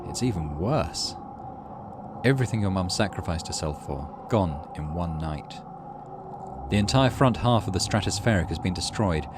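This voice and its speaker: A man's voice: low voice, animated, normal speed, noisy background. A middle-aged British man hosting a gaming video, reading story text aloud and adding casual commentary.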